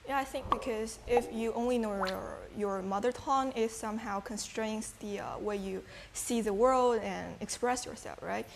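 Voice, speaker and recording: A woman's voice: on the high side, moderate pace, vocal fry, hardly any pauses, a little hiss or hum, low-quality recording. A young woman from China whose first language is Chinese, answering casually as a student guest with many fillers. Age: young adult. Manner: relaxed, uses filler words. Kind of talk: casual talk show answers.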